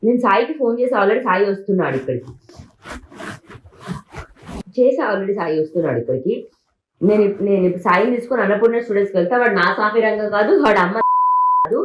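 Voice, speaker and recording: A woman's voice: medium pitch, lively intonation, quick pace, long pauses, lo-fi sound, slight background noise. A woman in a heated argument, speaking insistently and repeating herself over and over. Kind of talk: heated argument in a leaked recording. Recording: echoey amateur recording of an argument.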